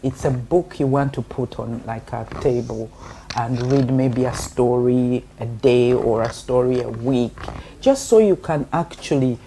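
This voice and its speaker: A woman from Uganda, a guest explaining her book in a measured way with many fillers. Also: extremely low pitch, dark tone, a little hiss or hum, rough recording. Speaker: guest, co-author. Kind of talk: interview answers.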